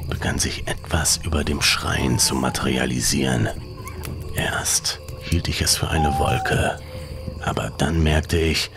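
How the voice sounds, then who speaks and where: A man reading a scripted horror story as a dramatic narrator, in a hushed, breathy, ominous delivery. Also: extremely low pitch, noisy background. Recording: music behind the voice. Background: music.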